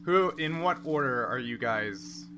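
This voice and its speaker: A man runs a tabletop role-playing game in an average-pitched voice. He narrates off the cuff and walks players through rules. The recording has clear noise behind him. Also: lively intonation, measured pace. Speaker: game master.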